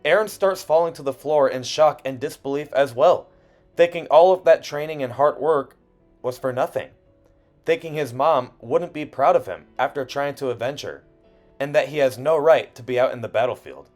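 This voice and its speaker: A man's voice: medium pitch, moderate pace, darkish tone. A man reading a scripted video essay in an earnest, personal way with emphatic phrasing. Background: background music.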